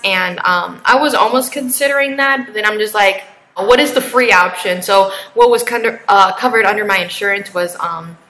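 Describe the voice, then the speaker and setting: A woman's voice: medium pitch, pushed and bright. Chatty young woman in an echoey room. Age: 20s. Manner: chatty, rambling with many fillers and asides. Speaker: vlogger. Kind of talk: casual talk to camera.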